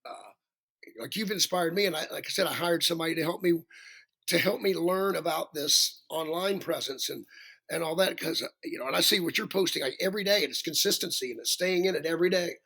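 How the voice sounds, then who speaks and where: A male singer with a raspy voice, chatting as a podcast guest, rambling and self-deprecating with many restarts. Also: a little high, brisk, bright, forceful tone. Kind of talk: casual interview chat.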